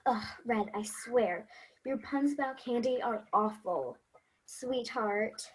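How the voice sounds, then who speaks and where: A woman's voice: fairly high pitch, takes it slowly, slightly rough, poor audio. A woman playing Sam, an earnest, curious little girl asking questions, in an amateur stage play. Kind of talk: amateur theatre acting.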